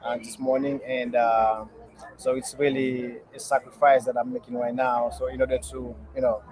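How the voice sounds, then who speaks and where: A young man from Haiti, a guest entrepreneur, answering interview questions in a halting, earnest way with many pauses and fillers, speaking from a restaurant. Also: mid-range voice, little pitch movement, a little mellow. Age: young adult.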